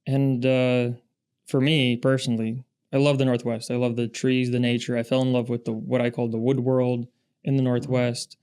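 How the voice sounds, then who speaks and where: A man in his thirties, born in Russia and raised in the Pacific Northwest, talks as a podcast guest. His voice is smooth and resonant, with a fairly even pitch, and he tells his stories in a steady, measured way. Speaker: podcast guest, industry founder. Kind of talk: casual interview chat.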